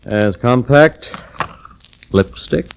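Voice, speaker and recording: An American actor playing an easygoing, matter-of-fact police lieutenant in a 1940s radio drama. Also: male voice, medium pitch, animated, drawn-out words, gravelly, full character voice. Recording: faintly noisy 1940s radio recording.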